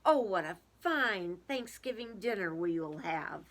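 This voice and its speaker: A woman's voice: medium pitch, lively intonation, measured pace. A woman voicing an eager, gleeful storybook woman who savors her words with exclamatory emphasis. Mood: delighted. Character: eager, gleeful woman fattening a turkey for dinner.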